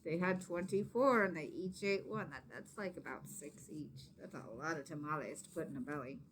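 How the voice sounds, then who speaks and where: A woman reading a story aloud to children expressively, with dramatic emphasis and hushed asides to her listeners. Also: low voice, normal speed, a little hiss or hum. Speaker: teacher or reader of a children's story.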